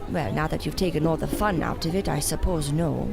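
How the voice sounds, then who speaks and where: A proud, brooding female mystic in an audio drama. Her voice is on the low side, her diction formal and sharp-tongued, with music clearly behind it. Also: brisk. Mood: softening.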